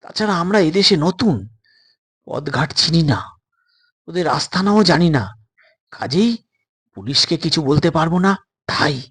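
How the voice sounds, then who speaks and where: A man acts out a frightened young adventurer in a suspense audio story. The voice is husky and a little high, urgent and conspiratorial, always wary of danger. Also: animated, unhurried, pauses often, fairly bright tone, full character voice. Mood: resentful, wary.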